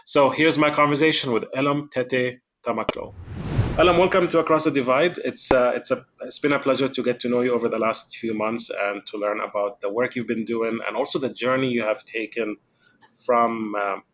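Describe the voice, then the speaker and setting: Halting man hosting a podcast. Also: medium pitch, steady tone, a bit bright, slight background noise. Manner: halting, with many fillers. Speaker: podcast host. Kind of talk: podcast interview.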